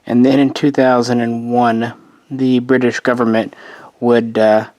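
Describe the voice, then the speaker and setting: A man's voice: mid-range voice, level tone, a little slow, darkish tone, no room sound. A man losing his voice, casually narrating a history explainer as host, rambling and correcting himself with offhand asides.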